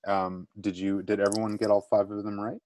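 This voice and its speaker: A man's voice: on the low side, little pitch movement, a little fast, darkish tone, dry recording. A man teacher leading a small online class discussion, encouraging students and checking in often. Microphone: video call.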